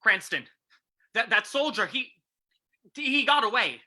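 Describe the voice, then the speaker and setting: Man playing a forceful, blustering police commissioner. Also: very high-pitched, sing-song, unhurried, heavily acted. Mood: flustered. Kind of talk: old-time radio drama reading.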